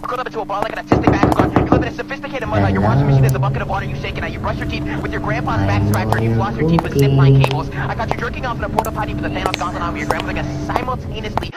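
A man raps comic insults at breakneck speed in a slightly high voice. His delivery is mocking and rhythmic, with loud music running over it. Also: very animated, very fast, long pauses. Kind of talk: rapid comedic insult rap over music.

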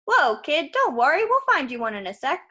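Storybook villain: giant male salesman, jovial, fussy, menacing, very lively pitch , female performer. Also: fairly quick, heavily acted. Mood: jovial menace. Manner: folksy sales patter, casually threatening.

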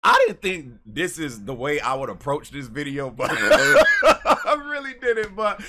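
Animated, high-pitched American man ranting. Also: unhurried. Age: 30s. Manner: animated, laughing, emphatic, repeats phrases. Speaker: gaming YouTuber. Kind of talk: casual rant to camera. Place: small bedroom, indoors.

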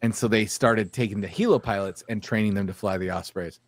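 A middle-aged American man hosting a casual podcast, swearing freely and often halting mid-sentence. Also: fairly low pitch, no echo. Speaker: podcast host. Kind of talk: casual chat. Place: small room at home, on a video call.

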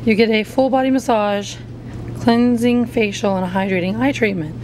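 A woman's voice: fairly high pitch, normal speed, darkish tone, low-quality recording. A middle-aged woman chatting casually as a vlog host, recorded on a handheld camera. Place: aboard a cruise ship, mostly indoors. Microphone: handheld camera at arm's length. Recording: busy crowd noise behind.

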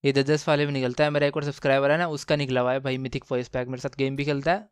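A man giving casual, excited gameplay commentary, slangy and exclamatory, repeating phrases for emphasis. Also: medium pitch, racing, clean tone, dry recording, studio quality. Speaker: gaming channel host.

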